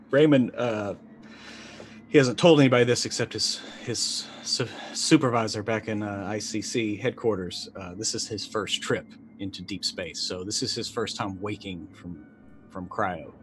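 A man in his forties playing a tabletop game, thinking aloud haltingly as he describes his character. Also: on the low side, fairly bright tone, a little hiss or hum. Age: 40s. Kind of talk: improvised third-person character description.

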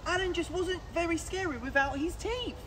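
A man in his twenties reading a children's picture book aloud outdoors in an expressive, projected voice with dramatic pauses. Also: very high-pitched. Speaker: children's story presenter. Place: outdoors in woods, standing. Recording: outdoor recording with clear background noise.

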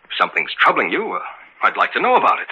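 An actor playing a concerned, reasoning brother in an old-time radio drama, with a husky voice. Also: male voice, lowish voice, huge pitch swings, rapid-fire, bright, forceful tone, full character voice. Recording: dull old radio recording.

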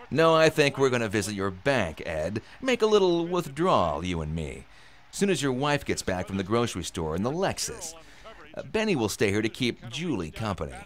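An actor playing a menacing robber in a radio drama, taunting and sarcastic with a false friendliness. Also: male voice, mid-range voice, huge pitch swings, normal speed. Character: menacing, mockingly friendly male robber posing as FBI agent.

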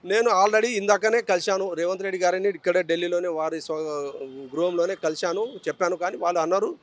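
Man, high voice, rapid, emphatic politician, interview answers, dry close sound. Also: dry recording. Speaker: politician seeking election candidacy. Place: outdoors on a city roadside. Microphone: handheld reporter's microphone.

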